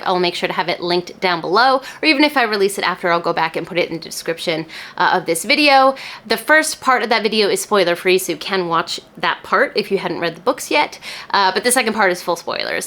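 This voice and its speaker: A woman hosts a casual book vlog, speaking straight to the viewer at a medium pitch. Her delivery is chatty and animated, with emphatic stress on the words that matter to her. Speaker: book reviewer and video host.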